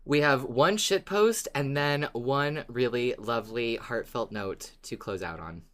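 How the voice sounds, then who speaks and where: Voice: medium pitch, a little slow, fairly bright tone. A podcast co-host chatting casually and enthusiastically about a fan favourite, playful and given to casual swearing.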